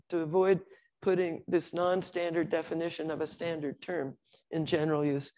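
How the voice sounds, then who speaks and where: An older woman lecturing on logic and semantics in a measured, explanatory way, with occasional restarts. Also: low-pitched, darkish tone. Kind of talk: academic lecture on slides.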